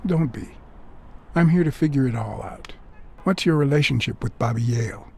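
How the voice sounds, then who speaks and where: An actor playing a hard-boiled private detective, a wry, brooding cat who speaks in measured, terse phrases in a film noir game. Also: male voice, medium pitch, animated, gravelly, a little mellow, slight background noise. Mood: probing. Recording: music behind the voice.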